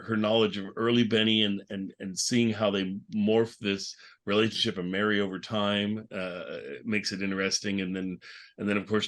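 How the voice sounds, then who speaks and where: A man with a fairly low voice moderates an informal online panel. He talks in a loose, rambling way, with a joking, teasing manner toward the people he is hosting. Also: fairly bright tone. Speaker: podcast host moderating a panel. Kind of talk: casual panel chat. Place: online video call panel.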